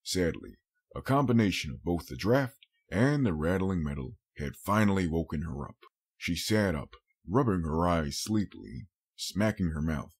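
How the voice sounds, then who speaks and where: A man's voice: low voice, animated, moderate pace, slightly rough. A man reading a scripted story as an audiobook narrator.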